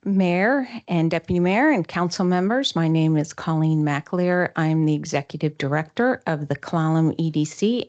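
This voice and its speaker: A woman's voice: lowish voice, a little mellow, dull audio. Earnest middle-aged woman giving public comment. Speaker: public commenter at city council.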